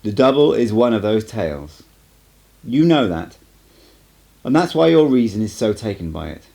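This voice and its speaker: Man reading as stern, mellow-voiced old sorcerer teacher. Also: on the low side, big pitch swings, normal speed, vocal fry. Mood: grave, cryptic. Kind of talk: amateur audiobook character reading.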